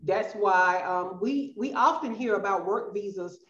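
A woman's voice: medium pitch, measured pace. Southern woman guest, middle-aged, chatty, echoey home-room call sound. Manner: chatty, addresses listeners as y'all. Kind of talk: casual interview chat. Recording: roomy, slightly dull video-call audio.

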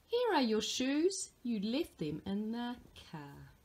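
A hearty father from a picture book, read by a woman. He is dismissive in an amused way, stretching his words emphatically. The delivery is slow and lively in pitch, with some huskiness. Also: exaggerated voice. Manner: emphatic, drawn-out.

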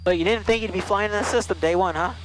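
A man's voice: high voice, fast talker. A man giving in-flight instruction to a student pilot, coaching and reassuring. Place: small airplane cockpit. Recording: noisy engine cockpit audio.

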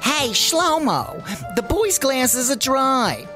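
Swooping, high-voiced chatty lady rabbi. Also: very animated, drawn-out words, music in the background, exaggerated voice. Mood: chummy, pushy. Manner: effusive, nosy, swooping emphasis. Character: chatty, forward-thinking female rabbi, pushy matchmaking hostess. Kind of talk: comedy audio drama acting.

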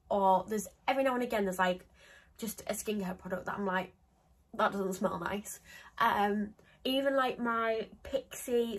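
Brief: woman, twenties, casual beauty vlogger, stuffy from a cold, a little husky, rambling chat filled with ums and likes, close phone mic in a small home office. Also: mid-range voice. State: congested with a cold.

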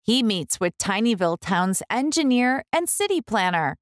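A woman reading a picture book aloud for young children, expressively, with deliberate, clear enunciation. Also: on the high side, very animated, no room sound, clean studio sound. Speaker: children's book reader, host.

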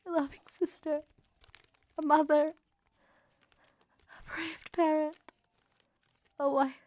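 Earnest young mother, high-voiced. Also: level tone, takes it slowly, a little mellow, dry recording. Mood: grief-stricken. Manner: earnest, intimate letter reading. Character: devoted young Victorian mother writing anxious letters to her brother. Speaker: radio drama actress. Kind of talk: dramatic reading of letters in a radio drama.

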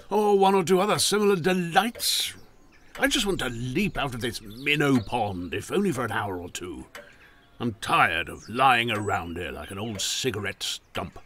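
An actor playing a restless, ailing older country gentleman in an audio drama, wry, kindly and hesitant. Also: mid-range voice, a little hiss or hum.

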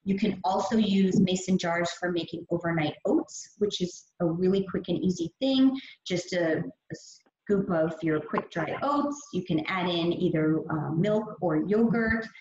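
A woman's voice: lowish voice, measured pace, a little mellow. A young adult woman fitness trainer giving an upbeat, chatty meal prep talk over slides on a video call. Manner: upbeat, chatty, many fillers. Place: gym or studio room. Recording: echoey, dull lo-fi audio with faint hiss.

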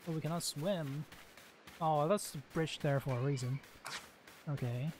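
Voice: medium pitch, measured pace, darkish tone, slight background noise. A gamer giving casual, exclamatory gameplay commentary, reacting aloud to the play. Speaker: gaming host.

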